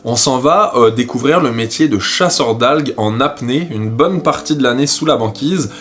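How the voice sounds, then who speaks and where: A man's voice: medium pitch, lively intonation, normal speed, fairly bright tone. A man presenting as the host of a documentary series, in a casual introductory style addressed to his viewers.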